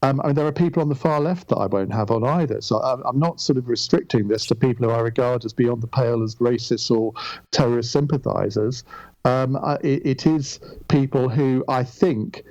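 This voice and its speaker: A middle-aged Englishman with a calm voice, talking reflectively as an interview guest, often pausing on ums and restarting. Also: mid-range voice, no room sound, lo-fi sound. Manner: measured, with frequent ums and restarts. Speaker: guest, radio broadcaster.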